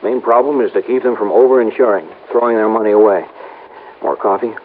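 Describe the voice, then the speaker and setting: An actor as folksy, low-voiced insurance agent, vintage radio. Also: male voice, brisk, natural voice. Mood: uneasy, sincere. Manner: hesitant, stammering, homespun. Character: folksy, earnest male small-town insurance agent, eager to reassure. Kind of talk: 1950s radio drama acting. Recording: noisy, narrow-band old radio recording.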